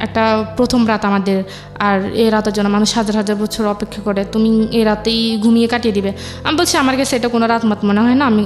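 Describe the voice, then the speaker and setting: A young woman with a Bangladeshi accent recounts personal experiences conversationally, in a halting way with repeated phrases. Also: a little high. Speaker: interview guest telling her life story. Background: music.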